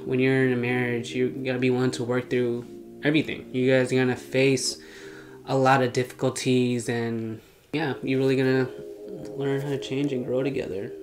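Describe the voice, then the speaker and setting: A young man speaking calmly and earnestly as co-host of a couple Q&A. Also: medium pitch, normal speed, faint music behind. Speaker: co-host, husband. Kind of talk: casual couple Q&A chat.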